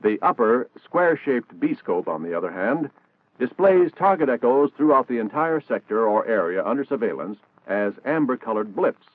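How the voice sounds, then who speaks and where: Male American narrator, formal and instructional, lively intonation, thin vintage band-limited soundtrack, 1960s military training film read. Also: mid-range voice, normal speed, no room sound, narrow, tinny audio.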